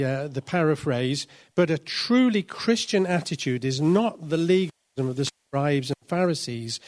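Preacher, male, fairly high-pitched, measured and explanatory, halting delivery. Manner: thoughtful, explanatory, with many restarts and ums. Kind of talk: sermon.

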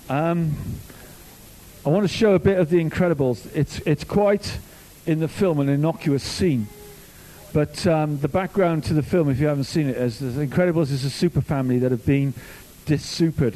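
A man's voice: medium pitch, a little fast, warm tone, rough recording. A man from Wales, a youth minister giving a casual, joking sermon full of stories. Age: older. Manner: chatty, jokey storytelling. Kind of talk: informal sermon.

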